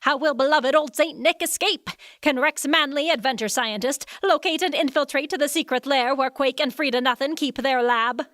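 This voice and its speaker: A woman's voice: sits high, moderate pace, fairly bright tone, no echo, clean studio sound. A woman playing a theatrical, suspenseful narrator of an old-time style radio adventure serial.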